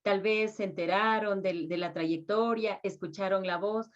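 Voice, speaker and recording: A woman's voice: medium pitch, steady tone, a little slow. A woman asking interview questions conversationally, rephrasing tentatively as she goes. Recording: dull, lo-fi sound.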